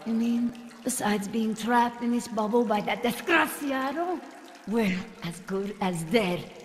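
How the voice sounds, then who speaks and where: An actress playing a tough, embittered smuggler with a conscience in a video game, grave and intense. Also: female voice, fairly high pitch, a little slow, slight background noise, natural voice. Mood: bitter, resigned. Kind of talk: dramatic video game acting. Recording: long hall reverb.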